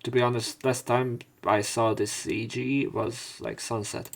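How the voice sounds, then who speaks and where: A man's voice: mid-range voice, little pitch movement, unhurried, vocal fry. A man reading visual novel narration aloud as a let's player, with casual commentary. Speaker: let's play streamer.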